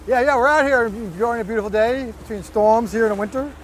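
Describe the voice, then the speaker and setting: Casual male angler, notably high voice, explains gear step by step, light boat noise behind. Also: fast. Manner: laid-back, explains step by step. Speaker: fishing partner, co-host. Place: outdoors on a fishing boat offshore.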